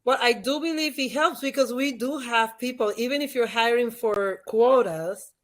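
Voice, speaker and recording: A woman's voice: on the high side, normal speed, fairly bright tone. Woman with a Dominican Spanish accent. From Dominican Republic. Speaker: podcast guest, leadership expert and former teacher. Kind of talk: conversational interview, explanatory.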